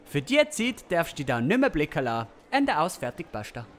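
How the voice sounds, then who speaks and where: Man playing a high, swooping, pompous village overlord. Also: wildly swooping pitch, fairly quick. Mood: smug, bossy. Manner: waffling, self-important, hesitant with many tag questions. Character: Gessler, pompous, dithering village overlord who loves comfort. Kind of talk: comic Swiss-German audio play acting.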